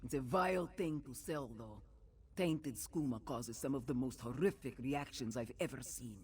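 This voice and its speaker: An actor playing a dutiful guard captain in a fantasy game, stern, measured and formal. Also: male voice, mid-range voice, a little mellow, lightly acted. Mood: grave.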